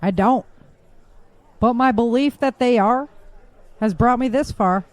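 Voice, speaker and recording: A woman's voice: a little high, a little slow, dry recording, close to an everyday voice. An actress playing a weary woman survivor who speaks earnestly.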